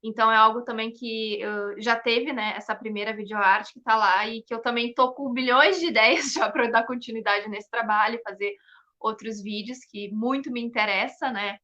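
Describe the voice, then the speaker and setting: A young woman speaks as an interview guest in a bright, keen voice that sits on the high side. She explains things casually and with enthusiasm, dotting her phrases with "né". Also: normal speed, dull audio. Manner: enthusiastic, frequent "né" tags. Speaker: interview guest, performing artist and researcher.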